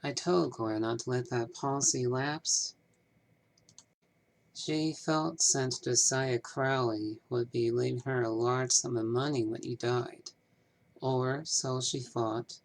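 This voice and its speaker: A man voicing a polite, businesslike 1930s small-town banker from a mystery game, with measured, formal phrasing. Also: mid-range voice, fairly even intonation, gravelly, low-quality recording. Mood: regretful. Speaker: amateur voice actor. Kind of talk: scripted game character dialogue.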